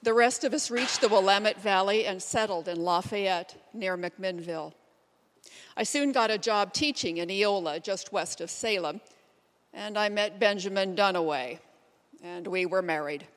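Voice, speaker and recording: In a reverberant hall, a woman performs as a plainspoken pioneer woman. She tells of her frontier life in a deliberate, storytelling monologue at a middling pitch. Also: close to an everyday voice. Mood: matter-of-fact. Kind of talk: readers theater monologue. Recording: echoey hall, long reverb.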